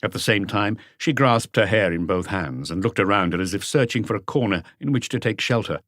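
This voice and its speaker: A man reading scripted literary narration as an audiobook narrator, with a measured storytelling delivery. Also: lowish voice, lively intonation, a bit bright, dry recording, studio quality.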